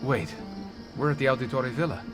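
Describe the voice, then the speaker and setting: A man's voice: mid-range voice, animated, a little fast, natural voice. An actor playing a young, uneasy but dry-witted man in a video game cutscene. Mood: questioning. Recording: loud music underneath. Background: loud music.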